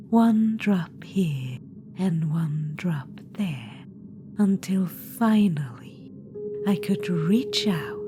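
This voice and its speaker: Scheming undead witch-queen, whispery and slow, low dark tone, patronizing and purring, voiced by a woman. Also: a little hiss or hum. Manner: purring, patronizing, calls listener darling. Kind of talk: ASMR audio roleplay.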